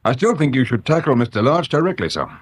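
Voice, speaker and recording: A man playing a polite, sceptical and quietly stubborn English police inspector in a radio drama, understated and measured. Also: mid-range voice, very animated, breathy edge. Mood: quietly defiant. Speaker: actor playing a detective inspector.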